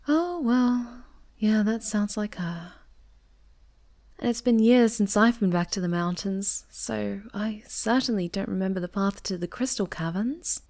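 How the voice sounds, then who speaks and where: A woman playing a sweet, affectionate, polite moth monster girl in an intimate ASMR roleplay, gentle and often dropping toward a whisper. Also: medium pitch, unhurried, close to an everyday voice. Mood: playful, curious. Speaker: voice actor in an audio roleplay.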